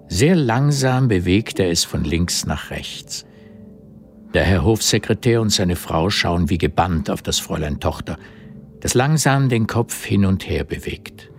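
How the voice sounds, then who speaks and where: Low-voiced male literary narrator. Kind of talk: literary scripted narration in a radio drama.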